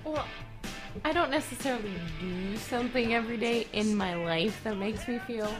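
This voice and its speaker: A woman's voice: on the high side, normal speed, over music. A young adult woman speaking candidly in a casual podcast chat, earnest with laughs and fillers.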